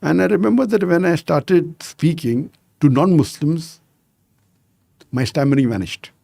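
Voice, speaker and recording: A man in his sixties telling anecdotes as a podcast guest, measured and sprinkled with "you know". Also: a little high, gravelly, mellow, no room sound, clean studio sound. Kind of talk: conversational storytelling interview.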